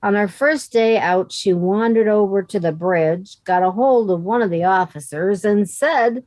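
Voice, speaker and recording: A woman's voice: mid-range voice, a little slow, a little mellow, dry recording, lightly acted. A woman voicing a sensible, wry young woman who narrates in an amateur radio comedy reading. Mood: wry, friendly. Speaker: amateur radio play actor.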